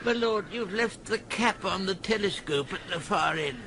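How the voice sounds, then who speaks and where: Discreet deferential butler. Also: male voice, sits high, animated, moderate pace, pushed and bright, slight background noise, exaggerated voice. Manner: polite, hesitant, deferential.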